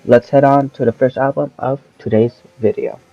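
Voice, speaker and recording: An eighteen-year-old man reviewing albums in casual, rambling speech with slang, often correcting himself, his voice a little lowered as he comes down with a cold. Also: steady tone, normal speed, dry recording, muffled recording. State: voice lowered from oncoming sickness. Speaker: album review channel host.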